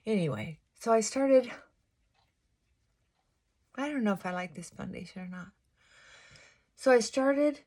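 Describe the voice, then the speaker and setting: A middle-aged woman vlogging casually while doing her makeup, reflective and confessional, with a fairly high voice she deliberately pulls down and soft whispered asides. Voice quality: fairly high-pitched voice she works to pull down. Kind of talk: casual get-ready-with-me chat.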